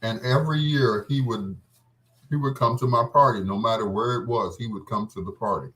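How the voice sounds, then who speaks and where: A man's voice: mid-range voice, a little fast, fairly bright tone, low-quality recording. A man hosting a radio show, telling personal stories in a casual, rambling, reminiscing way. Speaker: radio host. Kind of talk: casual storytelling between love songs.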